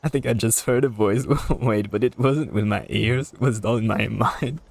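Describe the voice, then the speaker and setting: A man giving casual live commentary as a game streamer, rambling and halting as he repeats words. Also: on the low side, vocal fry.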